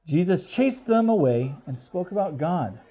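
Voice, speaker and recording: A man reading a storybook aloud for children in an explanatory way, pausing to question his young listeners. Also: sits high, very animated, dark tone, a little hiss or hum. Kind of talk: expressive children's read-aloud with asides.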